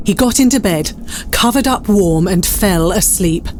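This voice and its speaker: A woman's voice: medium pitch, lively intonation, a little slow, darkish tone, a little hiss or hum, natural voice. A woman playing the storyteller, narrating a scripted, whimsical period tale for an audio drama. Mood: measured, wry.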